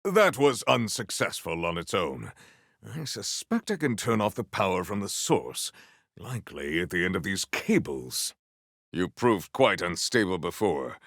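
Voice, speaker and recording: An actor playing a proud, battle-hungry warrior in a video game, with formal, stilted and grandiose diction. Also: male voice, sits low, wildly swooping pitch, unhurried, creaky, fairly bright tone, no room sound, clean studio sound, full character voice. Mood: measured. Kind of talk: scripted video game character lines.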